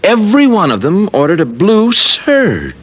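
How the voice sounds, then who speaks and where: Wildly swooping, puzzled tailor. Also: male voice, high voice, huge pitch swings, unhurried, a bit bright, slightly rough, full character voice. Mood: puzzled, realising. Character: puzzled, indignant male tailor shopkeeper. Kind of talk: old-time radio detective acting. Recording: vintage radio recording.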